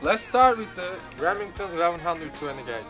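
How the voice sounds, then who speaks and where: A young man with a Turkish accent gives a casual gun-safety demo in a gravel field and keeps stumbling over his lines. His English is halting and his pitch swings widely. The camera mic sits a few meters away, with music behind him. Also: lively intonation, poor audio. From Turkey. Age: young adult. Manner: halting non-native English, laughs through takes. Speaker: first-time shooter presenting a gun. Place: outdoors in a gravel field by a truck.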